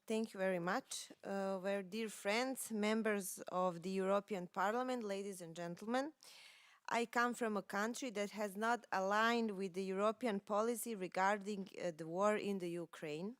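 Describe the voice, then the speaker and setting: A woman's voice: medium pitch, no echo, studio quality. A woman from Serbia, a native Serbian speaker with a Serbian accent, delivering a prepared political speech.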